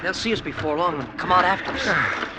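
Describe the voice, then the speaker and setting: Quick, high-pitched eager castaway. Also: male voice, expressive. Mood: eager, hopeful. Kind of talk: old-time radio acting. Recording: very noisy old radio recording, water behind.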